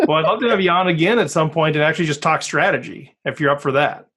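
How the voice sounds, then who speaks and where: A man's voice: fairly high pitch, fast, fairly bright tone, husky. Joking male podcast host. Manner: friendly, joking, wraps up the talk. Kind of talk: casual interview hosting.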